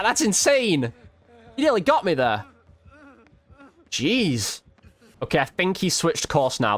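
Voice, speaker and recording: Male gamer, higher-pitched voice, very lively intonation, casual and exclamatory live commentary. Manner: reactive, exclamatory, slangy. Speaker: video game streamer, YouTube host.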